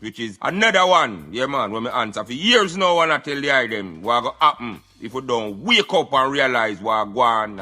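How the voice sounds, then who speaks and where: A Jamaican man hosts a radio show in an animated, bright and forceful voice. He talks casually in patois, peppering his monologue with affirmations, while music plays behind him. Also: medium pitch, slightly rough. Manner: patois, repeated "yeah man" interjections.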